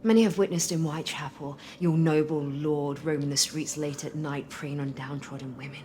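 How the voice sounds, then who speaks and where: A solemn rebel woman leader played by an actress in a cinematic game. Her voice sits on the low side, formal and measured, with resolute weight. A light layer of ambient noise sits behind her. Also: steady tone, warm tone, natural voice. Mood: grave, hushed. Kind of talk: cinematic video game acting.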